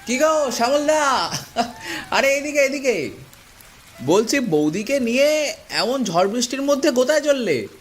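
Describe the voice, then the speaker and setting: Cartoon villager, played by a man: young, anxious talker, high pitch, very lively delivery, repeats himself. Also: a little slow, long pauses, heavily acted. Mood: hailing, friendly. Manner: exclamatory, folksy, repeats himself. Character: anxious, chatty young village man, teasing older cousin. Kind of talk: cartoon voice acting.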